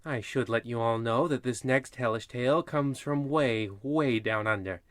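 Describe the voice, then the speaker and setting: An actor playing a macabre, theatrical and sardonic host in a parody of old radio horror shows. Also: male voice, mid-range voice, normal speed, no echo, natural voice. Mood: grandly sinister.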